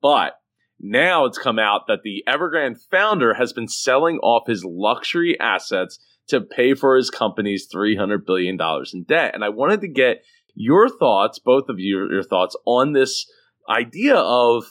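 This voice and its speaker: A man hosts a casual business talk show with a very animated voice that rises and falls widely. He speaks in an exclamatory way, doubling up short phrases for emphasis. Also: mid-range voice, unhurried. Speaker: podcast host.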